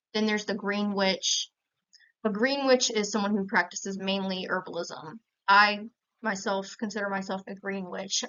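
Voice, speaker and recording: Young American woman, smooth clear voice, middle pitch, explanatory presentation delivery, slightly dull lo-fi recording. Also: a bit bright. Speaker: student presenter. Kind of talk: informative speech presentation.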